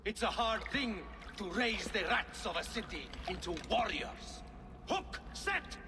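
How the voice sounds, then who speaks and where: An actor playing a fiery zealot who leads archers in a video game, speaking in a commanding, oratorical manner. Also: male voice, high-pitched, expressive, unhurried, background noise. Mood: shouting commands. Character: fiery rebel archer leader, a male zealot rallying townsfolk. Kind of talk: dramatic game acting, rousing speech.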